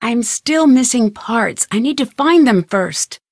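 A woman playing a young, curious and determined amateur detective in a video game, thinking aloud in clearly articulated scripted lines. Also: on the high side, animated, measured pace, no echo, studio quality. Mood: musing, focused.

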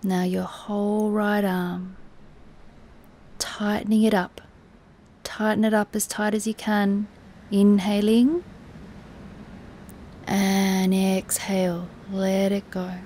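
A woman teaching guided relaxation in a soothing, soft, instructive way, with breathy, near-whispered moments and long pauses. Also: mid-range voice, completely flat delivery, slight background noise. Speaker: yoga nidra meditation teacher.

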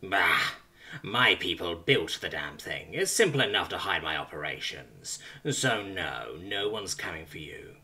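A man voicing an ancient, bitter alien abductor with needle teeth, speaking slowly and menacingly, often in a whisper. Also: deep voice, lively intonation, pushed and bright, voice pushed down, exaggerated voice.

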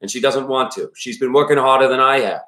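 A man's voice: mid-range voice, fast talker, sharp tone, pitched above the performer's own voice, natural voice. A man voicing a worried, plainspoken older father from a small town, with a Maine accent, in an audiobook-style reading. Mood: weary, reassuring. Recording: roomy echo around the voice.

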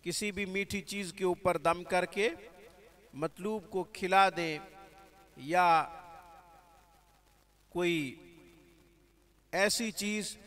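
Slow, devout man. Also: sits high, steady tone, a bit bright. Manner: slow, measured and devout, with Arabic and Urdu religious phrasing. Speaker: spiritual healer teaching prayers. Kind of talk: religious instruction.

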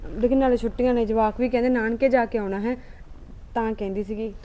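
Woman playing wife, thirties, pleading, persistent, fast, high. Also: steady tone, dark tone, lightly acted. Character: pleading young wife wanting to visit her parents. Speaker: daughter-in-law.

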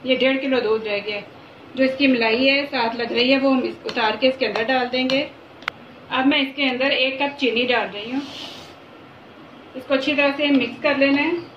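A woman walks viewers through a recipe in simple, encouraging steps. Her voice sits high and carries room echo from a home kitchen. The sound is muffled, with steady background noise. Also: normal speed. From Pakistan. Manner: simple, repetitive, encouraging instructions. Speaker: cooking channel host. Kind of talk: home cooking tutorial. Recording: echoey, dull, noisy home recording.

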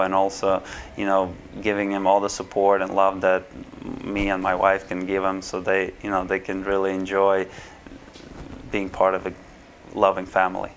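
A man's voice: low voice, a little hiss or hum. A man in his thirties from Ukraine, seated in a small office and answering interview questions to camera with many fillers and restarts. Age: 30s. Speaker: swim school owner. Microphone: camera framed at chest height, seated.

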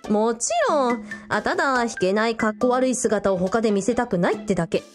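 A woman's voice: on the high side, brisk, soft background music, close to an everyday voice. A woman playing a teasing, sociable young elder sister in a radio drama, with a casual, playful way of talking.

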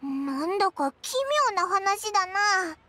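A woman voicing a tiny childlike fairy companion in a Japanese fantasy game, squeaky, excitable and cheeky, with boyish phrasing. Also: takes it slowly, exaggerated voice. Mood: puzzled, surprised. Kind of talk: Japanese anime game voice acting.

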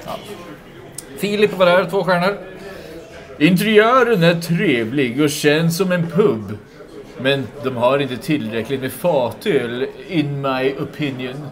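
A man in his thirties co-hosting a casual podcast in a pub, joking and reading reviews aloud. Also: a little high. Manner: jokey, laughing, quick restarts. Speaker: podcast co-host. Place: busy pub. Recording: noisy pub with crowd chatter. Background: crowd chatter.

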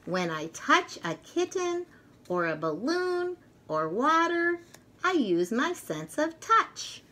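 Slow, animated woman reading to children. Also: high voice. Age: middle-aged. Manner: expressive, deliberate, sing-song, child-directed delivery. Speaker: children's storyteller and teacher. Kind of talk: picture book read-aloud for young children. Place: furnished room at home, indoors.

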